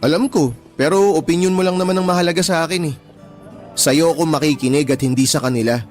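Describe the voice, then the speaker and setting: A man's voice: fairly high pitch, fast, soft background music, lightly acted. An actor playing a persistent young man courting a tomboyish woman in a radio drama, teasing her with chuckles. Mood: earnest. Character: persistent young male suitor, teasing but sincere.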